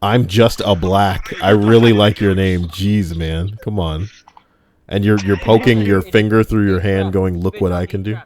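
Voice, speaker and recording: Sex: male. Role: streamer. Style: crude, rambling gaming banter. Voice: low. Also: steady tone, unhurried, darkish tone.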